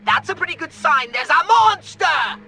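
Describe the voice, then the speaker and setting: An actor voicing an irascible, scheming alien commander in a cartoonish video game, pompous and sarcastic, with a shrill, gravelly voice. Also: male voice, lively intonation, normal speed, sharp tone, faint music behind, exaggerated voice, rough recording. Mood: sarcastic, exasperated.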